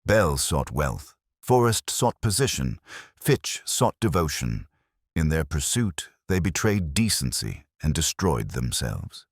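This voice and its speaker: A man's voice: rumbling low, very animated, slow pace, husky, dry recording, clean studio sound, heavily acted. A man voicing a pompous, brilliant private detective who speaks in formal, grand pronouncements in an audiobook story. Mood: solemn pronouncing.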